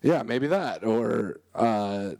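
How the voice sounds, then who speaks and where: A man co-hosting a casual chat, rambling and restarting his sentences, with a voice going hoarse. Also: medium pitch, animated, extremely slow, darkish tone, no room sound, studio quality. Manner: rambling, self-interrupting, laughs often. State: losing his voice. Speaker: podcast co-host.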